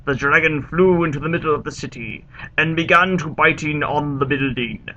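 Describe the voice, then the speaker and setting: A man playing a pompous, earnest foreign author who gives theatrical, deliberate dramatic readings of his absurd broken-English stories. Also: on the high side, sharp tone, highs cut off, a little hiss or hum. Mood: grave. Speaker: reader of fan fiction.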